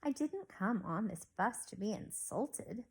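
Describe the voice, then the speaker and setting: A woman's voice: mid-range voice, expressive, measured pace, a little mellow, husky. Woman voicing a tiny, proud, indignant storybook mouse. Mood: indignant, tart. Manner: indignant, tart, precise. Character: tiny proud male mouse in sailor suit, easily offended.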